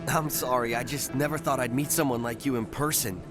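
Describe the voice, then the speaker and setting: A man's voice: medium pitch, steady tone, a little fast, music in the background, close to an everyday voice. An actor playing an eager, starstruck young policeman in a video game, chatty and deferential with boyish enthusiasm. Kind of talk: natural video game acting.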